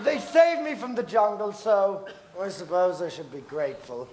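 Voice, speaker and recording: A man's voice: high voice, lively intonation, normal speed, darkish tone. A man playing a hateful, gloating pantomime villain, declaiming rhymed lines on stage. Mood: spiteful, gloating. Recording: echoey theatre, noisy audience, distant pickup.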